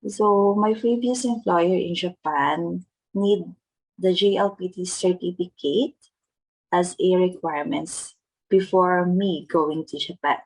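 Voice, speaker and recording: A woman's voice: on the low side, steady tone, slow pace, husky, low-quality recording. A young adult woman from the Philippines with a Filipino accent, earnestly explaining study tips in a casual vlog. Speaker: vlogger teaching Japanese test tips.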